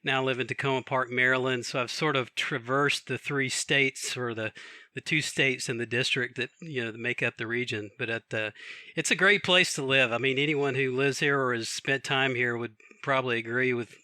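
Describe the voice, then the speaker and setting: Podcast guest, male academic, very bright voice, dry close sound. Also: medium pitch, steady tone, normal speed, dry recording. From Atlanta, Georgia. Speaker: guest author, professor. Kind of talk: casual conversation.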